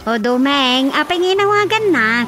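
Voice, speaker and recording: A woman's voice: high-pitched, lively intonation, moderate pace, over music. A woman voicing a flustered, exclaiming village auntie with an Ilocano Filipino accent in a comedic radio drama.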